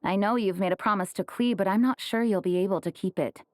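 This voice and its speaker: A woman voicing an earnest, dutiful female leader in a video game, formal and slightly high-strung. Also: fast talker, a little hiss or hum, natural voice. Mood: resolute. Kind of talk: animated game dialogue.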